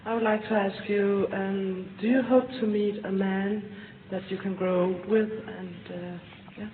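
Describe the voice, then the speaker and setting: A woman's voice: mid-range voice, level tone, unhurried. A woman from Denmark asking a question at a press conference. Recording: distant mic, echoey hall, narrow band, noise.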